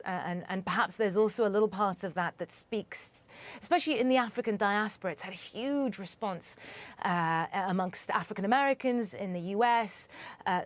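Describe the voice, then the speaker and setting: Earnest Sudan-born woman correspondent. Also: mid-range voice. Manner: measured, earnest, thoughtful with restarts. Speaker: international correspondent. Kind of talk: news interview answers.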